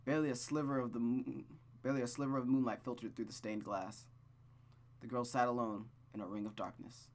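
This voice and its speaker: A man's voice: medium pitch, steady tone, brisk. A man reading a visual novel aloud as host and breaking off into rambling, self-deprecating commentary, stammering often. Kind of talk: let's play reading with casual commentary.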